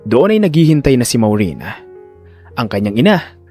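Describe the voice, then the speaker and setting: A man's voice: mid-range voice, big pitch swings, normal speed, darkish tone. A man reads a dramatic scripted story as narrator. His mid-range voice moves with very varied intonation. Music sits faintly underneath.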